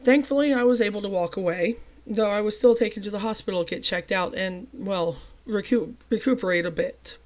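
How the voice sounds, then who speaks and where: A young adult storyteller whose voice still sounds a bit off from a recent cold. They talk in a chatty, self-mocking way and break into lively, emphatic bursts. The pitch sits in a middle range. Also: a little fast, few pauses. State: recovering from a cold, voice a little off. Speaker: channel host telling a personal story. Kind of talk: storytime narration.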